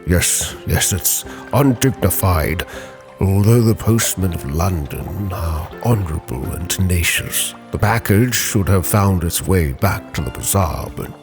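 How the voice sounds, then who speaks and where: A man puts on a furtive bookish informant in a narrated game tale, grave and courteous. He confides in a hushed, low, formal voice while music plays behind. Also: expressive, measured pace. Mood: hushed, confiding. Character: furtive, courteous male manuscript-keeping informant, gravely cryptic.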